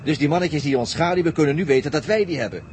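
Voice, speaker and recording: A cocky crewman in a 1960s science-fiction radio play. His voice sits fairly high, teasing and sardonic. Faint noise runs under the old recording. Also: expressive, quick pace, darkish tone. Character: cocky, sardonic male crewman of a daring team. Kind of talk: 1960s radio drama acting.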